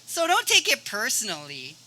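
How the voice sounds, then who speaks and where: A woman playing an exasperated, apologetic office colleague in a comedic radio play, earnest and deliberate. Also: a little high, wildly swooping pitch, pushed and bright, slight background noise, heavily acted. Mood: consoling, wistful.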